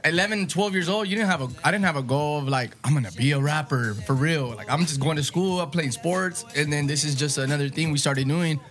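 A man's voice: on the high side, fairly quick, hardly any pauses, fairly bright tone, faint music behind. A young man from California's Imperial Valley, a rapper guest, talking laid-back with lots of filler and cussing. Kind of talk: casual interview.

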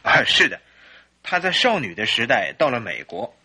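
An earnest husband of thirty-two in a radio drama, polite yet troubled. His voice has a sharp, forceful edge and a rough huskiness, and it moves in lively, expressive swings as he explains himself. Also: medium pitch, moderate pace, full character voice. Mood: polite, explanatory. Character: troubled 32-year-old English husband, a hop trader, polite and earnest. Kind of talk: Chinese radio drama acting.

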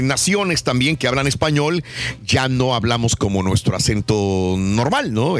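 A man's voice: on the high side, a bit bright. A man hosting a casual radio talk show, chatting with co-hosts and inviting listener calls. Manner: chatty, asks listeners questions, reads phone number.

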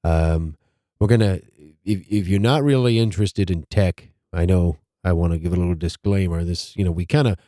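A man hosting a casual podcast, stuffed up, talking in a rambling, halting way and repeating his words. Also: low voice, mellow, no room sound, studio quality. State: stuffed up nose. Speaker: podcast host.

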